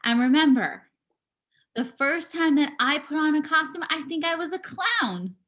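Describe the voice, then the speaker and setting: A woman giving a live spoken interpretation of a signing performer, speaking in halting bursts with pauses and restarts, over a video call. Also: high-pitched, animated, fairly bright tone. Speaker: sign language voice interpreter.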